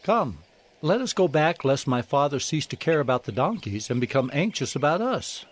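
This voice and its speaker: A man's voice: a little high, big pitch swings, moderate pace. An actor playing a humble, concerned young man in a dramatized scripture, earnest and questioning.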